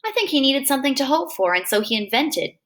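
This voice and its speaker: Gentle, sorrowful woman doctor, read by a narrator, high-pitched. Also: big pitch swings, quick pace. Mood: gentle, earnest. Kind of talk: acted audiobook dialogue.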